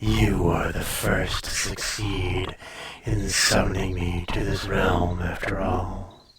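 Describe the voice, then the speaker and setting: Man voicing an ancient dragon, deep and very slow. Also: level tone, a loud crowd. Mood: impressed, measured. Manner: slow, formal, deliberate speech with long pauses. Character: ancient, proud male dragon, calmly menacing and intrigued. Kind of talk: scripted fantasy audio roleplay, addressed to listener.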